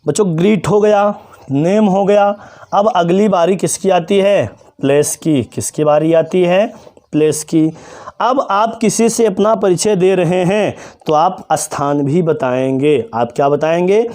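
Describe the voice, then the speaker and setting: A male teacher giving a classroom lesson to children, asking questions and answering them himself, and slowly spelling out example phrases. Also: high-pitched, a little mellow. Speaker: English teacher.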